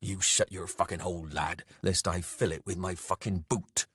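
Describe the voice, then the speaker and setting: A gruff fantasy warrior, huge and hot-tempered, acted out by a male narrator. He growls and snaps his curt, sweary lines in a low, rough-edged voice, recorded close and dry. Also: steady tone, moderate pace, a bit bright, no echo. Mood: furious. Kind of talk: fantasy audiobook character voice.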